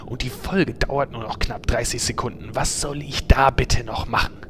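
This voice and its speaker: A man's voice: medium pitch, steady tone, moderate pace, noisy background, lightly acted. A man playing a grumpy, sarcastic fantasy hero who complains in exasperation in an audio drama parody. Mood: exasperated.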